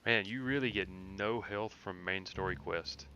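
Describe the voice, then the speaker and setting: A man with a fairly low voice talks through a video game as he plays. He thinks aloud and explains tactics in a casual streamer's style. Clear background noise runs behind his voice. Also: measured pace, pushed and bright, dull audio. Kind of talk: casual live gameplay commentary.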